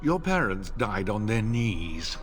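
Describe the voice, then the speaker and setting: An actor playing a cruel, bitter noble villain in a video game, mocking, theatrical and deliberate. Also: male voice, on the low side, sing-song, a bit bright, faint music behind, heavily acted. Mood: cruel, taunting. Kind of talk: video game cutscene acting.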